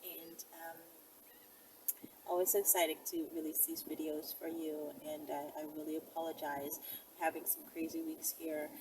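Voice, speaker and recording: Woman doing casual how-to talk to camera, slightly low voice, bit of background noise. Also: flat delivery, normal speed. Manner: conversational, addressing viewers directly. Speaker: self-defense video presenter. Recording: distant mic, faint background noise.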